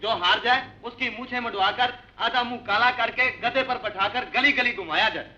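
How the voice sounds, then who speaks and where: A man's voice: very high voice, moderate pace, pushed and bright, full character voice. An actor playing a good-humoured village man who argues back in old Hindi film comedy. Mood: confident, teasing. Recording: dull 1950s film soundtrack.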